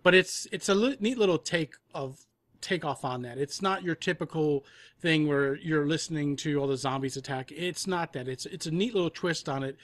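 A man's voice: on the high side, normal speed, a bit bright, dry recording. A man explaining his work as a guest in a casual interview, repeating words for emphasis. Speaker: audio drama producer, podcast guest.

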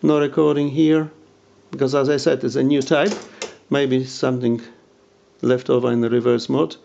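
A man's voice: medium pitch, fairly even intonation, moderate pace, vocal fry, warm tone, slight background noise. A man giving an informal hands-on demonstration, hesitant and searching for words. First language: not English. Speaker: video presenter demonstrating audio gear. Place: indoors at home, by a tape deck. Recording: dull lo-fi home recording.

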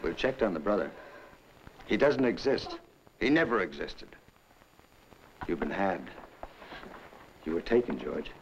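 An American actor, middle-aged, playing a stern, urgent government investigator with an earnest, clipped manner in an old film. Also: male voice, low-pitched, big pitch swings, unhurried, long pauses, muffled recording, exaggerated voice. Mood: firm. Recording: old film soundtrack with hiss.

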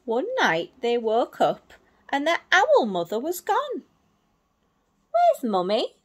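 A woman in her thirties tells a story to young children in a high, very animated voice. She uses simple, expressive phrasing and explains the pictures as she goes, taking her time. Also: measured pace. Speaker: teacher reading a picture book to young children. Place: small indoor room at home.

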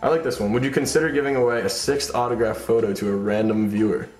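A man's voice: medium pitch, fairly even intonation, quick pace, darkish tone, roomy sound, faint music behind. A young man co-hosting a casual live stream, reading out fan questions in a laid-back, joking way. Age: young adult. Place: indoor studio room with piano and guitar.

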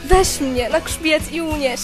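A woman's voice: high-pitched, slow. A woman playing a frightened young hare begging its friends for rescue, in an amateur radio play. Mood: pleading, frightened. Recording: homemade recording over loud music.

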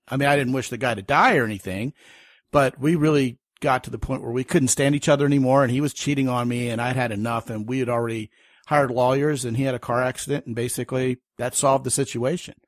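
A man's voice: mid-range voice, fast, no echo, studio quality. Chatty storytelling male podcast host. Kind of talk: casual interview chat.

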